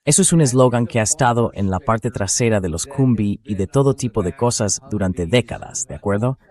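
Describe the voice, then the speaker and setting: A man's voice: fairly low pitch, a little fast, a little mellow. A man hosting a political discussion, speaking in an emphatic, sceptical way with pointed rhetorical questions. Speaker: talk show host and political commentator.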